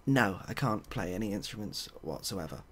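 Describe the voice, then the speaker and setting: A man voicing a reluctant deaf woman from an improvised story, soft and deadpan. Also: lowish voice, moderate pace, slight background noise. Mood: deadpan refusal. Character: Barbara, deaf, reluctant woman in a religious group.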